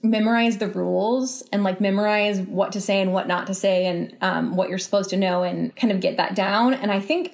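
A woman's voice: medium pitch, a little mellow. A woman giving thoughtful, conversational interview answers, reasoning aloud and rephrasing as she goes. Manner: reflective, exploratory, repeats and rephrases as she reasons. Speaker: interview guest, writer.

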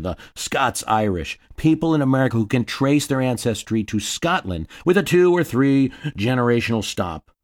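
Low-voiced man narrating history. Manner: storytelling, dramatic readings of quotes; wry asides. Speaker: history podcast host.

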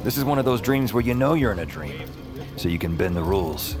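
A wry, boastful man tells his dream like a comic audio-drama hero. The voice is on the low side and swings expressively, theatrical yet conversational, with loud music underneath. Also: expressive, brisk. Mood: amused, dreamy. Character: wry, boastful male protagonist narrating his wild dream. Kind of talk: first-person noir-comic audio drama narration.

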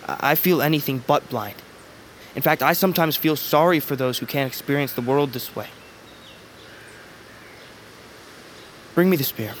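A man playing a serene, philosophical young blind brother in a fantasy audio drama, with lyrical, earnest, measured phrasing. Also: on the high side, a little hiss or hum, natural voice. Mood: quietly proud.

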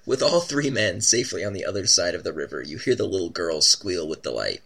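A man narrates an interactive story game for his friends, reading each passage aloud before chatting about it. His voice is bright and a little gravelly, with lively, rising and falling pitch. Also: brisk. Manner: reads text expressively, then chats.

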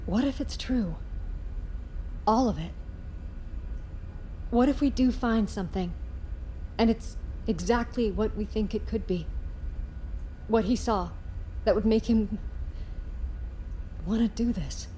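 Earnest uneasy crew member, soft mellow tone, slow delivery, faint rumble behind. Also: female voice, rough recording. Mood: uneasy, wondering. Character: earnest, unsettled female expedition crew member. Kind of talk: audio drama acting.

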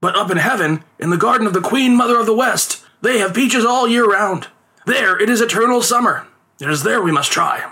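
A man's voice: sits high, expressive, moderate pace, pushed and bright, voice pushed up, full character voice. A man voicing an anxious old male street conjurer who frets and pleads in a theatrical way. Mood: urging.

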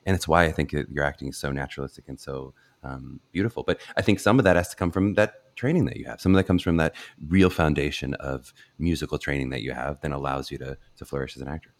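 Very deep-voiced man, effusive host. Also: fast, few pauses, no echo. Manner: warm, effusive, enthusiastic. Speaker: podcast host, college audition coach. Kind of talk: casual podcast interview.

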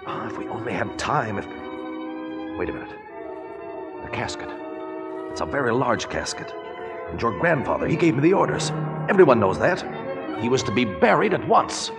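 An actor playing an urgent, protective schemer in breathy, intense 1940s radio melodrama style. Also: male voice, mid-range voice, very animated, normal speed, pauses often. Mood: scheming. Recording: echoey 1940s radio recording with music.

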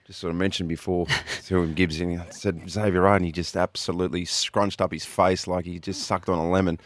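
A man's voice: sits low, little pitch movement, a little fast. An Australian man hosting a sports radio show, chatty and upbeat, often laughing and reading out the phone and text numbers. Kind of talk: upbeat breakfast radio banter. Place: radio studio. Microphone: studio microphone.

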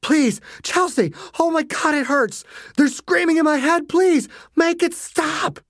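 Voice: high-pitched, a little slow, no room sound, studio quality, pitched above the performer's own voice. A narrator voicing a terrified father in agony, pleading and gasping for breath. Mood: panicked.

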